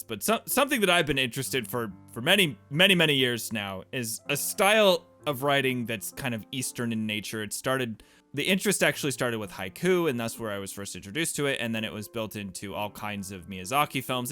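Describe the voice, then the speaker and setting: A man's voice: mid-range voice, a bit bright. A man hosting a video game playthrough with casual, rambling commentary and loose conversational asides.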